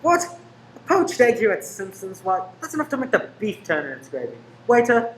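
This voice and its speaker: A woman's voice: medium pitch, very animated, normal speed, close to an everyday voice. A woman playing a charming young man in a radio play, jaunty and inquisitive. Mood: playful. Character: charming, probing young man about town named Ted. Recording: noisy room recording.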